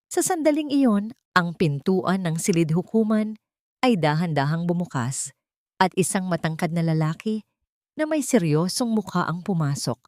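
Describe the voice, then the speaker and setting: A woman narrates a scripted dramatic story. Her voice sits a little low, and the sound is dry and close, with no room around it. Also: darkish tone, no room sound.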